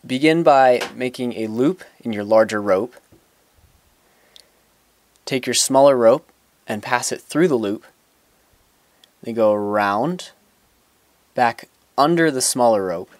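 A man's voice: medium pitch, no echo. A young man giving a plain step-by-step knot-tying tutorial outdoors, talking in an instructional way and now and then restarting a sentence. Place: outdoors on grass.

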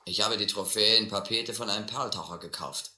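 An actor playing a desperate, educated drifter who drinks hard, rambling and pleading in halting bursts in a radio adventure play. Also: male voice, on the low side, bright, forceful tone, roomy sound. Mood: agitated. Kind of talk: German audio adventure drama.

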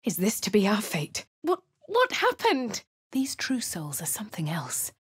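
A woman with a posh English accent voicing a refined young adventurer, crisp and composed in game voice lines. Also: mid-range voice, huge pitch swings, measured pace, no room sound, studio quality, heavily acted. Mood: wry. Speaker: voice of a player character. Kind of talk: game voice lines, first-person narration.

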